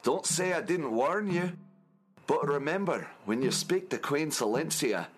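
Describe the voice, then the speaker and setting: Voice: medium pitch, lively intonation, measured pace, lightly acted. An actor playing a timid, cautioning palace guard in a children's radio play, speaking warily. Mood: nervous warning. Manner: wary, warning. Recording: music behind the voice.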